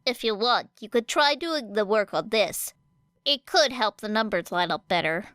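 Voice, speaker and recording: A woman reading a fan audiobook, voicing a calm, kind teenage girl who speaks gently and matter-of-factly. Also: mid-range voice, normal speed, clean tone, dry recording, studio quality, close to an everyday voice. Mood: gentle.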